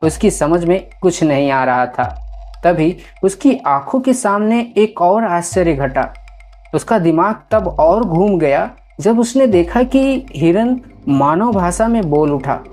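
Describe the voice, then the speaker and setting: A woman's voice: fairly low pitch, expressive, slow pace, darkish tone. A woman storyteller reading scripted horror story narration. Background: music.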